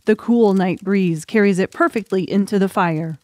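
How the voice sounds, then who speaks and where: A woman's voice: medium pitch, moderate pace, darkish tone, slight background noise. A woman narrating a dramatic audiobook story in a scripted style.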